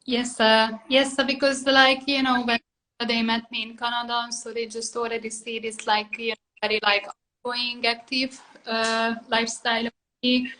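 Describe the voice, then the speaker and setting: A woman's voice: on the high side, little pitch movement, moderate pace, sharp tone, rough recording. A woman from Hungary with a Hungarian accent, talking casually about personal things in a rambling way, peppered with filler phrases. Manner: rambling, full of "like" and "you know".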